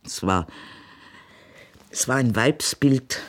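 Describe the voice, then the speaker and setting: A woman's voice: a bass voice, animated, takes it slowly, exaggerated voice. An older woman with an Austrian accent acting in a radio play as a genteel mother, tender yet sharply disapproving. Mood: scornful.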